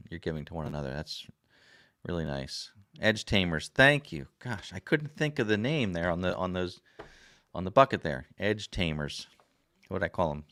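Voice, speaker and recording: Host, male, raspy from a recent sore throat, very low voice, dry close sound, folksy rambling chat at a desk. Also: a bit bright, dry recording. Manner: rambling and folksy, many fillers. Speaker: livestream host. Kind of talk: casual chat reading viewer comments. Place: home desk. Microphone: desk camera.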